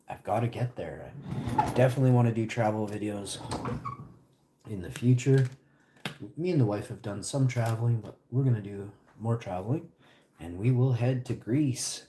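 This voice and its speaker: Low mellow-voiced man chatting on stream. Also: slight background noise. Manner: rambling, chatty, answers chat questions between bites. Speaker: live streamer. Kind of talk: casual live-stream chat with viewers while eating.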